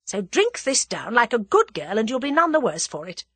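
A woman's voice: a little high, very animated, moderate pace, slightly rough, no room sound. A woman voicing a menacing, imperious villainess with a cold, commanding and scornful manner in an audiobook story. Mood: cold menace.

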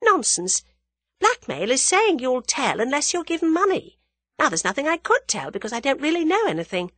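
A woman voicing a bold, impulsive young English woman in a dramatised audiobook, bright and emphatic. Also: sits high, very animated, normal speed, dry recording, pitched above the performer's own voice. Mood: brisk, emphatic. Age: young adult.

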